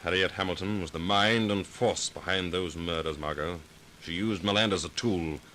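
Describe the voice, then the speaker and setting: Low, bright-voiced radio detective. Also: male voice, normal speed. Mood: explaining. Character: brave, confident male amateur sleuth. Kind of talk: 1940s radio acting. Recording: faintly noisy vintage 1940s radio recording.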